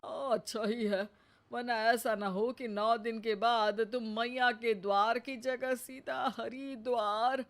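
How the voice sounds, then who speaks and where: Casting: woman to play elderly henpecked husband, sarcastic, teasing, wry mocking delivery, slow-paced, cartoon family tale. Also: a little high, steady tone. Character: teasing, sarcastic old father-in-law.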